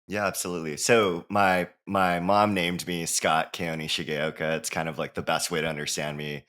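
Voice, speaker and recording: A low-pitched man from Hawaii talks with a bright, forward edge to his voice. He is a guest author in a relaxed interview. His delivery is animated and full of "you know"s, and he breaks into laughter now and then. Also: moderate pace. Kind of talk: casual interview talk.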